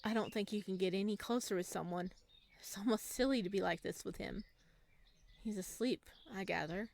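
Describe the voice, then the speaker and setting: A woman reading a visual novel aloud as a let's player, casual and expressive, with jokey commentary. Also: mid-range voice, moderate pace, creaky.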